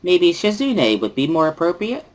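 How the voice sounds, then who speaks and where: Woman voicing a bubbly, girlish schoolgirl, swoopy pitch. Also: moderate pace. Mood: cheerful. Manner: girlish, exclamatory, easily excited. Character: bubbly, playful schoolgirl interpreter who laughs loudly. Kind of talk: amateur visual novel dramatic reading.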